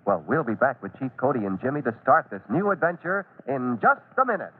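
A man's voice: mid-range voice, very animated, fairly quick. A man narrating an old-time radio drama in a scripted 1940s announcer style, dramatic and emphatic. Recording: tinny, noisy old radio recording.

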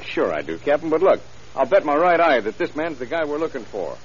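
Eager, impatient old-radio detective. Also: male voice, on the high side, big pitch swings, fairly quick. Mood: urgent, eager. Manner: slangy, urgent, insistent. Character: eager, stubborn male homicide detective, excitable and impatient. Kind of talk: 1940s radio drama acting. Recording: hissy, muffled old radio recording.

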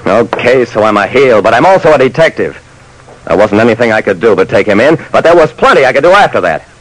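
A man's voice: medium pitch, sing-song, fast talker, rough recording. An actor playing a wry, slangy detective who narrates his case in a clipped old-time radio style. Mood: wry, narrating. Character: dry, soft-hearted male detective telling his case. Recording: vintage radio broadcast.

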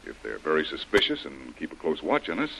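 Deep-voiced, commanding radio adventurer. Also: male voice, wildly swooping pitch, vocal fry, a bit bright, heavily acted, low-quality recording. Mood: resolute, businesslike. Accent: American. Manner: brisk, authoritative, clipped orders. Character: tough, commanding male adventurer, bossy and impatient. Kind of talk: old-time radio adventure acting. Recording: old radio broadcast with faint noise.

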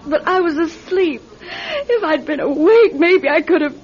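High-voiced, distraught, anxious sister. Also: female voice, expressive, a little slow. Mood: tearful, guilty. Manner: pleading, emotional, insistent. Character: distraught anxious woman fearing for her injured sister. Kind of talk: radio drama acting. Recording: muffled vintage radio recording, hissy.

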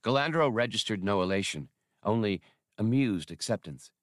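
A man's voice: lowish voice, expressive, moderate pace, no echo, clean studio sound. A man narrating adventure fiction as an audiobook in a dramatic, scripted reading style.

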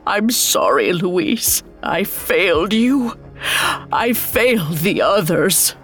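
A woman voicing an older, dying mother superior in a dark fairy tale, halting and pleading, by turns fanatical and remorseful. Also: fairly low pitch, sing-song, soft background music.

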